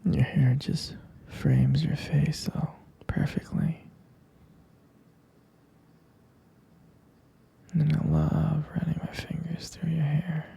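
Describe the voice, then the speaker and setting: Male actor for tender vampire lover, ASMR sleep-aid roleplay. Intimate whisper, heavy creak, flat soothing delivery, halting and doting. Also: a little high, exaggerated voice. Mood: dreamy, doting. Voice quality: breathy whisper with gravelly fry. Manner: slow, halting, softly repeating himself.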